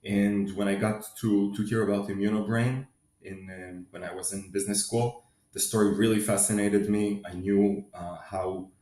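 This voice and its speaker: A man who grew up in Israel, answering interview questions in a measured, thoughtful way with frequent restarts. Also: lowish voice, flat delivery, warm tone, reverberant. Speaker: guest, company CFO.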